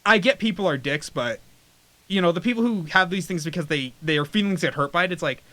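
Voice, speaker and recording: A man's voice: high-pitched, expressive, fairly quick, a bit bright, dry recording. A man talking bluntly in a casual podcast chat, laughing often.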